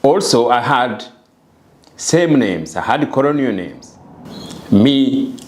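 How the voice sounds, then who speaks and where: Slow, deliberate man with a Rwandan accent. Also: mid-range voice, sing-song, darkish tone, a little hiss or hum. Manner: deliberate, earnest, repeats phrases for emphasis. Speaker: speaker and preacher of African spirituality. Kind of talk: spoken message to the camera, preacher-like talk.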